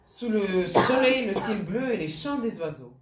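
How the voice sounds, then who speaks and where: A woman's voice: medium pitch, expressive, moderate pace, roomy sound, distant mic, heavy background noise, pitched above the performer's own voice, close to an everyday voice. A woman playing an earnest, reflective actress in a stage play.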